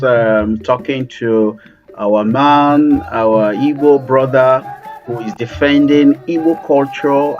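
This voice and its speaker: A male interview host who talks haltingly with many fillers, wrapping up a remote interview. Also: medium pitch. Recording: dull sound with faint music.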